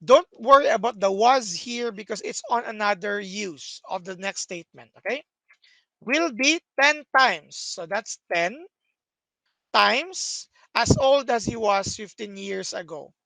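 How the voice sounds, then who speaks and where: A man's voice: high voice, animated, a little slow, gravelly, pushed and bright, no room sound, low-quality recording. A young man teaching a math lesson over a screen recording, explaining step by step with many "okay"s, recorded at a desk.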